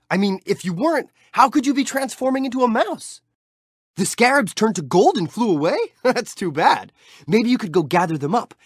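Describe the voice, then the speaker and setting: A cheerful young street-thief hero from a video game, chatty and playful as he cheers the player on. His voice swoops widely up and down with bright youthful bounce. It is recorded dry and close on a studio mic with no room sound. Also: sits high, no echo, full character voice. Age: young adult. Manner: friendly, playful, upbeat and encouraging. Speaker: game character.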